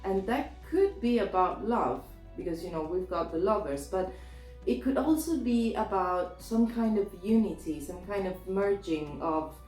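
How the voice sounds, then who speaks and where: A woman with a sore throat, a little hoarse, giving a casual tarot reading in a chatty, hesitant way with many restarts. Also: mid-range voice, expressive, a little slow, a little mellow, echoey room, soft background music, rough recording. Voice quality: slightly hoarse. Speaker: tarot reader.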